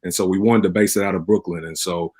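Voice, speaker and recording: A man giving conversational interview answers, speaking haltingly and repeating words as he thinks. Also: sits low, fairly even intonation, a little mellow, no echo, clean studio sound. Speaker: pastor and radio drama producer, interview guest.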